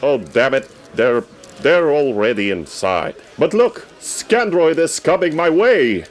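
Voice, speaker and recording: A man playing an eager radio correspondent reporting from a red carpet in a comedy sketch, formal and theatrically excited. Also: on the high side, slow. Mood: eager, pleading.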